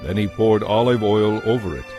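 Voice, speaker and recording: A man narrating scripture as a dramatized story, in an expressive, measured way. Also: lowish voice, highs cut off, soft background music.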